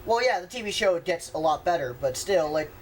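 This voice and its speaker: A man's voice: high-pitched, animated, a little fast, a little hiss or hum. A young man hosting a casual movie commentary, sarcastic and argumentative, swearing freely.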